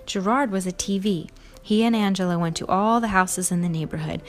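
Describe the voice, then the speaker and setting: A woman's voice: medium pitch, a little fast, soft background music. A woman hosting a read-aloud, telling a storybook to young children with emphatic expression. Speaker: storybook reader and show host.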